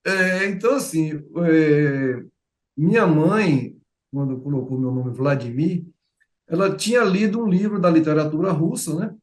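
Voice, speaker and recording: A middle-aged man with a Bahian accent chats as a guest on an interview show. His voice sits fairly high, and he talks in a loose way, full of fillers and repeated words. The room around him sounds echoey. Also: a little slow, darkish tone. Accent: Bahian Portuguese. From Bahia, Brazil. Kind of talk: casual interview chat.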